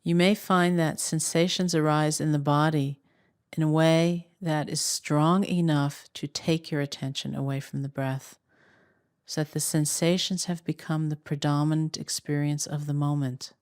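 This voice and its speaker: A woman with a low voice leads a guided meditation as a teacher. She speaks calmly and without hurry, leaving long pauses between phrases. The recording is close and dry, with almost no room sound. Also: smooth, dry recording. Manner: calm, unhurried, with long pauses.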